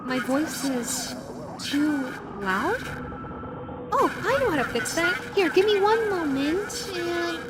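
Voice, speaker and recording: A woman's voice: extremely high pitch, takes it slowly, exaggerated voice. A woman voicing a playful, lonely female animatronic fox in a roleplay audio drama, chatty, coy and teasing as she talks to the listener. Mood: sheepish, chatty. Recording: loud steady background noise.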